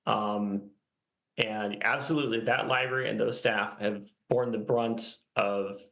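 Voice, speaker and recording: Gravelly man in an echoing room. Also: fairly low pitch, fairly even intonation, measured pace, a bit bright. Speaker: union president interviewee. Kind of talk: interview soundbite. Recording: roomy, echoing interview sound.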